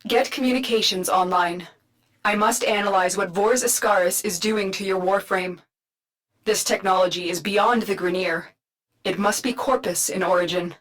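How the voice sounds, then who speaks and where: An actress playing a calm, authoritative mission guide in a video game, measured, formal and instructive. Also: female voice, mid-range voice, lightly acted, rough recording. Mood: calm command. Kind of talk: scripted video game character lines.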